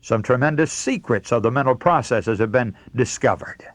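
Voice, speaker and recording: A man's voice: mid-range voice, moderate pace, no echo. An American man preaching a radio sermon, speaking as the host in an earnest, emphatic, teaching manner. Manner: earnest, emphatic, didactic delivery. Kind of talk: radio sermon with scripture readings.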